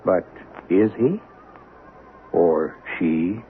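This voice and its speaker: A man hosting a 1970s radio mystery, reading scripted narration in a wry, deliberate, theatrical way. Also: sits low, lively intonation. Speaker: radio drama host. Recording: tinny vintage radio broadcast. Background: music.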